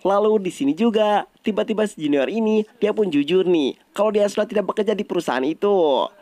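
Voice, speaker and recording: A man's voice: high voice, a little mellow. A man with an Indonesian accent narrating a story recap in a casual, colloquial storytelling style.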